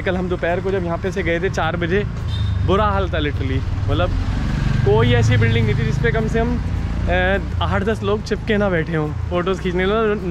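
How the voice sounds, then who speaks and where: A man's voice: fairly high pitch, background noise. A man in his forties walking through old streets, talking enthusiastically to a handheld camera as a travel vlogger. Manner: chatty, enthusiastic tour-guide talk mixing English words. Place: outdoors on quiet old town streets. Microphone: handheld camera at arm's length. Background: faint street ambience and vehicles.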